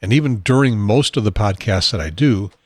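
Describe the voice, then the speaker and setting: A man hosting his own talk show from a home studio desk, rambling and self-deprecating, with a husky, unsteady voice and frequent throat clearing. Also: fairly low pitch, a little fast, no echo. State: has a probe in his nose. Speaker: podcast host.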